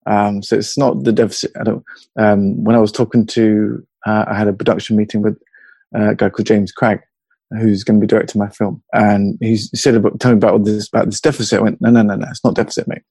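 A man with a Hertfordshire accent talks as a podcast guest in a fairly low voice, wandering from one thought to the next and laughing easily. The recording is dry, with no room sound. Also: level tone, fast talker, vocal fry, mellow, breathy edge, no room sound. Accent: Hertfordshire English. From England. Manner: rambling, jumps between thoughts, laughs easily. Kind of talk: casual interview over a call.

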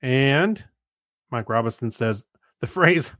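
A man co-hosting a casual podcast, reading items and chatting haltingly, often stumbling over his words. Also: mid-range voice, lively intonation, fairly bright tone, no echo, clean studio sound. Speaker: podcast co-host.

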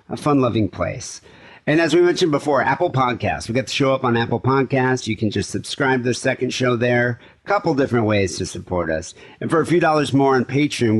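A man co-hosting a podcast, reading casual plugs and chatting. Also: mid-range voice. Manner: casual, rambling, fillers. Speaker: podcast co-host. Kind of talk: podcast chat and show plugs.

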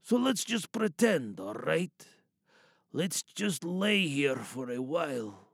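Audio drama: war-weary young soldier, acted by a man, bitter, musing quietly, swinging pitch. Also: very animated, slow, gravelly, husky, no echo, studio quality, heavily acted. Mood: tender, resigned. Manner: hushed, confiding, musing.

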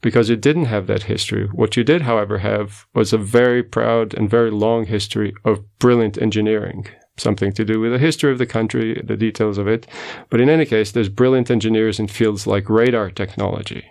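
Portuguese-accented guy, middle-aged, kinda gravelly, explains stuff slowly and carefully. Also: lowish voice, steady tone, a little mellow. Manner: measured, explanatory, self-correcting. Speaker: guest scientist. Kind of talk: interview answers, storytelling.

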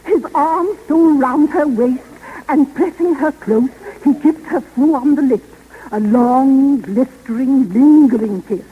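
An actress playing a meddling, gushing amateur romance novelist in a 1930s radio comedy, with a theatrical, swooning delivery. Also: female voice, sits high, a little slow. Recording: tinny, noisy 1930s radio broadcast.

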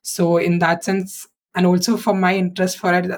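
A woman's voice: fairly low pitch, flat delivery, moderate pace. A woman in her twenties from India with an Indian English accent, a native Malayalam speaker, chatting as an interview guest and filling gaps with "like" and "uh". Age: 20s. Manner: chatty, fills with "like" and "uh". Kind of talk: casual interview answers.

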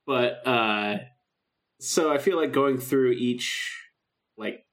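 A man's voice: mid-range voice, slow, fairly bright tone. A man co-hosting a podcast in casual, informal chat, talking with enthusiasm. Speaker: podcast co-host. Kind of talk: casual podcast chat about a radio drama.

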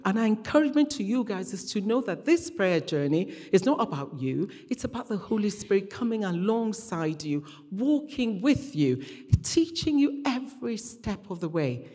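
A woman's voice: on the low side, warm tone. A woman guest preacher giving a conversational, storytelling sermon, earnest and fond of repeating phrases and voicing dialogue. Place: large hall or church, preaching to congregation. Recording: reverberant hall sound.

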